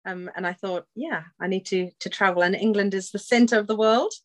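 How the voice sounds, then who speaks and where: A woman's voice: mid-range voice, fairly quick, dry recording. A middle-aged woman from Zimbabwe speaking casually as a guest in an interview. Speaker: podcast guest, procurement director.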